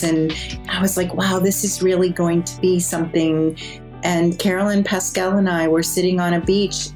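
A woman with a somewhat low voice tells her story in a warm, enthusiastic way as she looks back on how it began. Faint plucked guitar music plays under her voice. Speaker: interviewee, dive trip organizer. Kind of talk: reflective personal storytelling.

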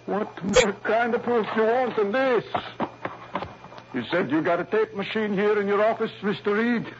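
An actor playing a gruff, agitated middle-aged father in an old-time radio drama, blunt, impatient and defensive. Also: high voice, normal speed, close to an everyday voice. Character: agitated, gruff retired father of a kidnapped girl. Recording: noisy, muffled vintage radio recording.

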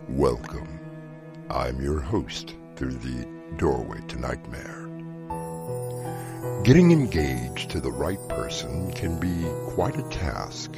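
A man hosting a horror audio drama, narrating in a slow, dramatic, portentous way. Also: very deep voice. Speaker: host and narrator. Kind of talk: ominous horror anthology narration. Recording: music bed behind the voice.

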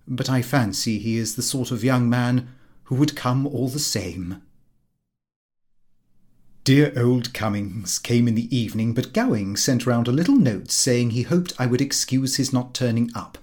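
A man's voice: medium pitch, darkish tone. A British man reading a comic diary as an audiobook narrator, in character with a fussy, indignant delivery.

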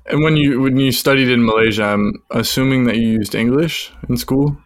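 A man's voice: mid-range voice, moderate pace, no echo. A man hosting a podcast, asking curious and slightly hesitant questions with fillers. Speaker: podcast host. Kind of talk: interviewing a guest.

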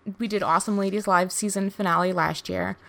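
A woman co-hosting a casual podcast chat, talking in a relaxed, friendly way. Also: medium pitch, quick pace, creaky. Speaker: podcast co-host.

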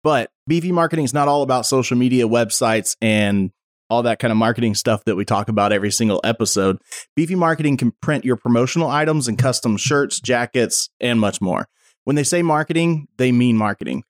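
A friendly man hosts a podcast interview with an easy, chatty flow. His voice sits at a middle pitch. The sound is dry and close, with no room echo. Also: a little fast. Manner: friendly, chatty, reads ad copy smoothly. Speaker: podcast host. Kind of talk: casual interview chat.

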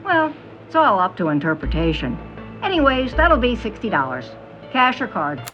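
A woman playing a fortune-teller in an audio drama, reading palms and cards with slow, portentous pauses. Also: medium pitch, big pitch swings, natural voice. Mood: brisk. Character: theatrical fortune-teller, businesslike about payment. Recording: dull sound with music behind.

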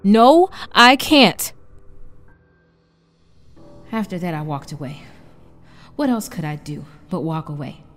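A woman's voice: on the low side, very animated, takes it slowly, long pauses, faint music behind. A woman playing an anguished wife trapped in frozen time, in an emotional audio drama with narration and dialogue. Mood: anguished refusal.

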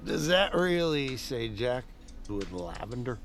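A man's voice: mid-range voice, big pitch swings, slow. An actor in an audio drama playing a crude, belligerent lawman, grumbling in a scornful drawl.

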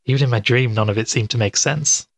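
A man's voice: medium pitch, fast talker, creaky, no echo. A man acting as a sceptical, tired podcaster in an audio drama, speaking in a casual, understated way. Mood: tired, subdued. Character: sceptical, tired male podcaster investigating a mystery.

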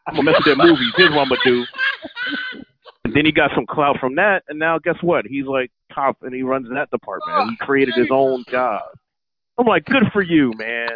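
A man on a casual podcast, joking and spinning a lively story for the group. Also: medium pitch, brisk, a little hiss or hum. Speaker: podcast co-host. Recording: dull lo-fi sound.

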